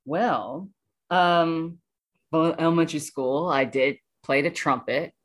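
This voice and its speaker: Woman w a low voice, casual interview chat, kinda halting and thoughtful. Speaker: voice actor guest.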